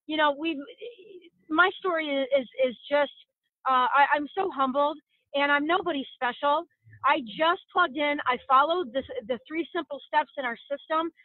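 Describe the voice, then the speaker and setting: A woman's voice: high-pitched, no room sound. A woman giving an earnest, encouraging wrap-up on a conference call, with many fillers. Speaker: network marketing leader. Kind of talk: motivational call talk. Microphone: phone on a conference call. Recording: tinny phone line.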